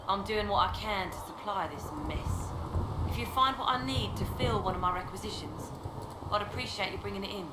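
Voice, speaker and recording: A woman playing a blunt, loyal and weary army quartermaster in a video game, brisk and plain-spoken. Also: mid-range voice, lightly acted. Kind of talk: video game dialogue acting. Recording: roomy game audio, very noisy background.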